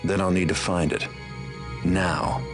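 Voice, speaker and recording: An actor playing a wry, cynical master thief in a video game, gravelly, with dry, understated sarcasm. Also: male voice, low voice, fairly even intonation, measured pace. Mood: cool, determined. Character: wry, cynical male master thief, coolly self-assured. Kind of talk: video game cutscene dialogue. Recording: music under the voice.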